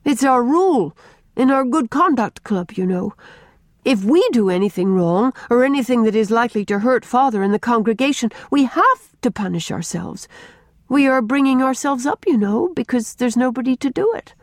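A woman's voice: on the high side, animated, normal speed, no echo, studio quality, voice pushed up, natural voice. A woman voicing an earnest young girl, breathless and pleading, in a storybook reading. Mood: earnest explaining. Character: earnest, remorseful young girl, eldest sister explaining herself.

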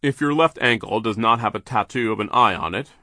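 A man's voice: fairly low pitch, fairly quick, sharp tone, no room sound, clean studio sound. A man voicing a stern, pompous middle-aged banker who speaks formally and with emphasis.

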